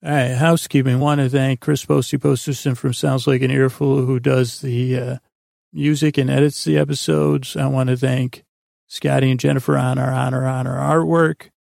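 Guy doing a rambly sleep podcast, super creaky mellow voice, halting, close dry sound. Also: mid-range voice, level tone, no echo. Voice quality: gravelly, creaky. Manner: meandering, halting, trails off mid-thought. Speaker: podcast host.

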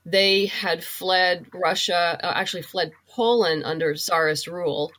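A woman's voice: lowish voice, steady tone, unhurried, a bit bright. A woman being interviewed as a podcast guest, explaining things thoughtfully in a casual, conversational way.

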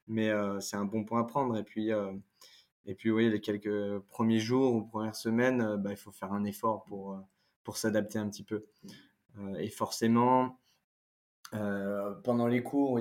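A young man from France talking casually about studying abroad, halting with frequent fillers. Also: mid-range voice, darkish tone. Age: young adult. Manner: halting, frequent "euh" fillers, occasional laughs. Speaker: former exchange student. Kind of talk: casual podcast conversation.

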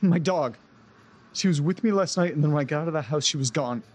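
An actor playing a distressed, desperate man in an audio drama, speaking earnestly and haltingly, often breaking off mid-sentence. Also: a little high, darkish tone, close to an everyday voice. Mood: agitated, astonished. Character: distressed man recounting a haunted-house ordeal, desperate to get home. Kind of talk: audio drama acting, naturalistic.

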